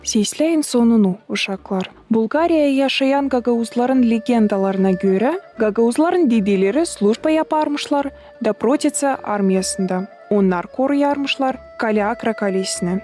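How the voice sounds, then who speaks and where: A woman voicing a patient mother who tells history to children in an animated cartoon, in a measured storytelling style. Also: on the high side, soft background music, natural voice. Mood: patient, instructive.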